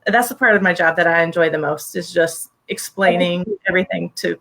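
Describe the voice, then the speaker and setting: A woman from Virginia, a loan officer guest, explaining things clearly in a friendly way. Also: fairly low pitch, fairly even intonation, a little fast, sharp tone, low-quality recording. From Virginia, USA. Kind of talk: explanatory interview talk.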